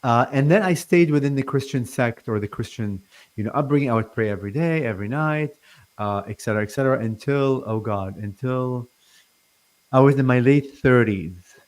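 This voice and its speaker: Brief: man, forties, born in Lebanon, halting speech with a stutter, remote guest. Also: medium pitch, poor audio. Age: 40s. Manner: halting, many fillers and restarts, stutters. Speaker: guest channeler. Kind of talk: casual interview talk.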